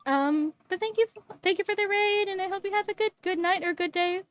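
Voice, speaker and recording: A hoarse, bubbly woman streamer reading game text aloud and chatting with viewers, tripping over words now and then. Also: extremely high pitch, brisk, fairly bright tone, faint music behind.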